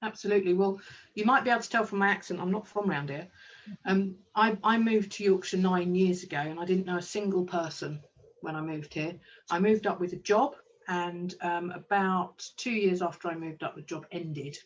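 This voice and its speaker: A woman's voice: lowish voice, fairly even intonation, moderate pace, recorded from a distance, highs cut off, slight background noise. A woman, a kinesiologist and business owner, talking enthusiastically in a casual interview with plenty of ums. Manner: enthusiastic, chatty, with frequent ums.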